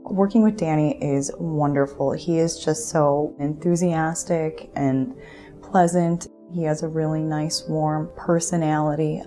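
A woman in her thirties speaks to camera in an office with a low, mellow voice. Her praise comes warm and measured. Faint music sits underneath. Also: steady tone. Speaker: pro bono coordinator. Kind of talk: interview to camera. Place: indoor office.

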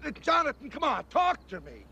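A man's voice: very high-pitched, huge pitch swings, a little fast, creaky, heavy background noise, full character voice. An actor playing an exasperated American man, griping and talkative with a sarcastic edge, in a television drama. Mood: searching, puzzled. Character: exasperated sarcastic human partner of an angel.

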